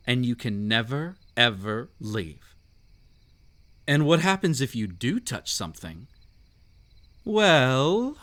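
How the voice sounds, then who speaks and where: A man playing the whimsical, mysterious and sinister host of a haunted estate in a scripted audio drama, teasing and theatrical with drawn-out phrasing. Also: medium pitch, a bit bright. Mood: ominous teasing.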